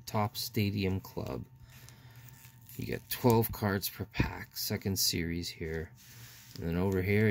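A man hosting his own card-opening channel, calmly reading out player names one by one in casual commentary, recorded indoors at a table. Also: mid-range voice, vocal fry. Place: indoors at a table, hands on camera only.

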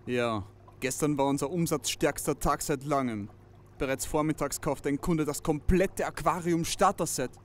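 A man's voice: fairly high pitch, moderate pace, a little hiss or hum, lightly acted. A man playing a hapless, lonely pet shop owner in an audio drama. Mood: helpful.

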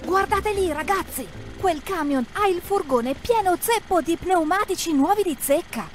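Lady narrator for little kids cartoon, super lively and high voice, exclaims a lot. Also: normal speed. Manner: expressive, exclamatory. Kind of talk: lively scripted narration for preschoolers, talking to the characters. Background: background music.

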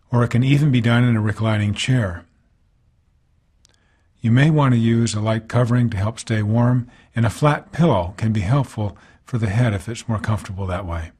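A man guiding a body scan meditation as a teacher, speaking calmly in gentle, unhurried phrases with pauses between them. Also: medium pitch, breathy edge.

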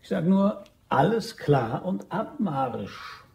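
A man's voice: a little high, very animated, slow, vocal fry, a little mellow, full character voice. A man playing a pushy, cheeky, flirtatious shop worker in an audio crime drama. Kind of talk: German radio play dialogue.

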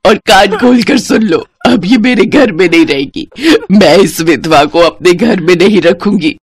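A woman's voice: on the low side, unhurried, slightly rough. Woman acting a harsh, scolding elderly mother-in-law. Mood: angry.

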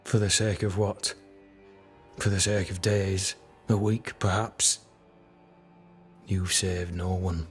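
Casting: fatalistic fort captain, grandiose and bitter. Deep register, very unhurried, doom-laden game drama. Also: male voice, flat delivery, soft background music. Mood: bitter fatalism. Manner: slow, grim, doom-laden formal speech. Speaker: military officer character in a video game. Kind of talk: dramatic video game acting.

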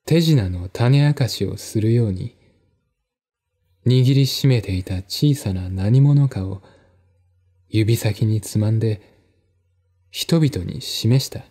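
A man narrating a mystery novel as a dramatic audiobook, measured and deliberate, with pauses between phrases. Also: on the low side, mellow. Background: faint music.

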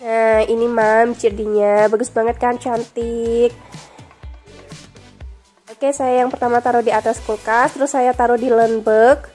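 Young woman, nasal from a cold, bright chatty vlog voice-over, light music under it. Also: on the high side, flat delivery, measured pace. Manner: chatty, friendly, addresses viewers directly. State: stuffy nose from a cold. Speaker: vlogger. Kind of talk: casual voice-over narration.

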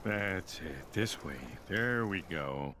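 An actor playing an earnest, hearty middle-aged superhero in an animated video game, friendly, eager and plain-spoken. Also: male voice, deep voice, slow, very noisy. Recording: loud music under dialogue. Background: loud music.

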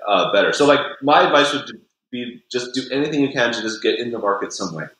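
A realtor, a man, giving casual advice in a relaxed, filler-heavy way from his home office over a video call. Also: medium pitch, fast. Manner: relaxed, repeats filler words. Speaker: realtor, podcast guest. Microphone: laptop mic on video call. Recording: roomy video call audio.

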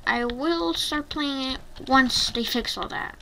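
Female gaming creator, slow-paced and mischievous, casual commentary over clear noise and keyboard clicks. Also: high voice, sharp tone, rough recording. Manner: playful, teasing, mischievous. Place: indoors at a computer.

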